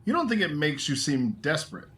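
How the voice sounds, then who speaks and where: A woman's voice: low-pitched, big pitch swings, a little fast. A woman playing a practical, nagging family member in a radio play. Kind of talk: contemporary radio play acting.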